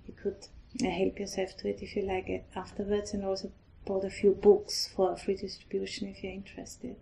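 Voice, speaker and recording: Austrian woman, middle-aged nun, accented English, warm flat delivery, hesitant dharma talk. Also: medium pitch, gravelly, low-quality recording. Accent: Austrian German. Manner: gentle, hesitant, halting with restarts.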